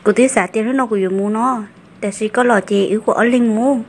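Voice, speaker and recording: A woman's voice: a little high, steady tone, normal speed, creaky, a little hiss or hum. A woman voicing a female character in a family drama cartoon, in dramatic dialogue. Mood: conversational.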